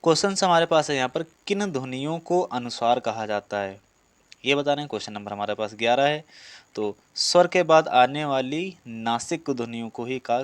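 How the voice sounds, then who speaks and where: A man's voice: medium pitch, clear, resonant tone, no room sound. A man teaching an exam-prep class, reading out questions and explaining answers in an instructional, repetitive way, addressing students directly. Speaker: Hindi grammar teacher for police exam students.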